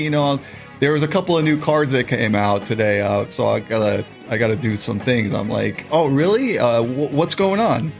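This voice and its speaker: A man with a raspy voice co-hosting a gaming show, chatting off the cuff in a laid-back, rambling way and repeating his words. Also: mid-range voice. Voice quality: raspy, gravelly. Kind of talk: casual unscripted chat about a card game.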